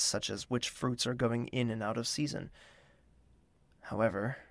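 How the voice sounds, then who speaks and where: An actor playing a gentle, reserved young prince in an audio drama, courteous and measured, with formal wording and a self-doubting streak. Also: male voice, mid-range voice, dry recording. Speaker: character actor and first-person narrator. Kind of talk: scripted audio drama with inner monologue.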